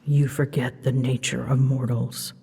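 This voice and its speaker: A woman voicing a proud, scolding female dragon who rules seas and storms, imperious and theatrical with hushed, breathy phrases, in a scripted ASMR audio drama. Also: extremely low pitch, flat delivery, measured pace, long reverb tail, a little hiss or hum, exaggerated voice. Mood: weary, warning.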